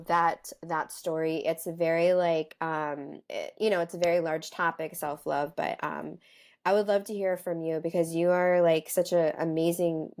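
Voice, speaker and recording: Woman host, slightly low voice, calm and soothing, chatty podcast style. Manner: chatty, lots of "like" fillers. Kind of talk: casual podcast interview.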